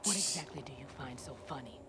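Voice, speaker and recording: A man's voice: medium pitch, animated, fast talker, sharp tone, slight background noise. An actor voicing a defiant male rival leader in video game cutscene dialogue, asking clipped, probing questions. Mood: wary. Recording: game cutscene with music underneath.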